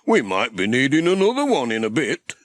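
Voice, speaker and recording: A man's voice: mid-range voice, huge pitch swings, a little fast, no echo, voice pushed up. A man voicing a keen, good-natured village handyman in a children's audiobook, plain-spoken and hearty. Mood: musing. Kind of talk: acted children's audiobook dialogue.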